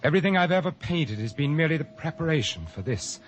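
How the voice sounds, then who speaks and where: An actor playing a cultured, scheming male painter in a 1940s radio drama, with a smooth, purring delivery. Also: a little high, sing-song, fast talker, highs cut off. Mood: gloating. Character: suave sinister male painter, cold and scheming. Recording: old radio broadcast.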